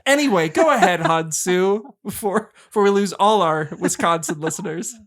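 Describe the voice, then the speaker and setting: A man's voice: sits high, normal speed. A man hosting a podcast interview, chatting casually and joking with his guests. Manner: friendly, joking, hesitant restarts. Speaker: podcast host.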